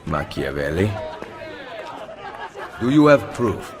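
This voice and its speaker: An actor playing a stern, noble assassin leader in a video game, measured and solemn, with an Italian accent. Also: male voice, low-pitched, big pitch swings, crowd noise, exaggerated voice. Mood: firm. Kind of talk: dramatic video game cutscene acting. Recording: loud music behind the voice.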